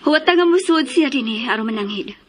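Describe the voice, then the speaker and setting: Protective middle-aged mom in a radio soap, high voice, strict and scolding. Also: female voice, expressive, fairly quick. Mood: stern. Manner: firm, admonishing. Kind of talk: radio drama acting.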